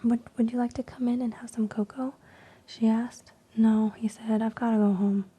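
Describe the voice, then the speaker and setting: A woman reading stories in a soft unvoiced ASMR whisper, slow and hushed with frequent ums, while losing her voice. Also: a little high, level tone, husky. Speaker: ASMR creator.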